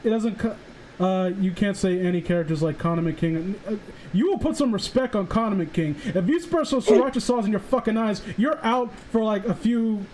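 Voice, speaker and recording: A man hosting a casual livestream chat, rambling excitedly and swearing freely like an enthusiastic fan. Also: sits high, normal speed, low-quality recording. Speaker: livestream host. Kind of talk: casual chat about comic book characters.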